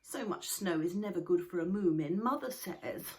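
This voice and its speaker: A woman voicing a gentle, curious young male troll in a storybook reading, in a lively manner. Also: fairly low pitch, moderate pace, close to an everyday voice. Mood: cheerful.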